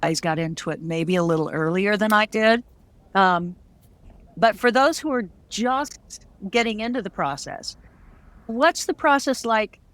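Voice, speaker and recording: A woman interview host with a mid-pitched voice. She talks casually and conversationally, with a wry, self-deprecating streak as she puts questions and shares her own view. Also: measured pace. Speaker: audio drama writer and creator.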